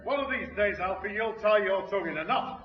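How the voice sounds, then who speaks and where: An actor playing a grumbling, put-upon neighbour in a 1960s radio sitcom. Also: male voice, sits high, a little fast, roomy sound, far from the mic, some chatter behind, close to an everyday voice. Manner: grumbling, aggrieved. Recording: dull 1960s radio recording.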